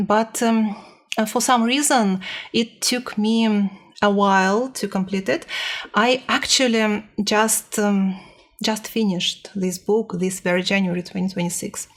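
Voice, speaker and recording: A woman giving a casual personal report as a language learner, speaking hesitantly and a little nervously as she searches for words. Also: medium pitch.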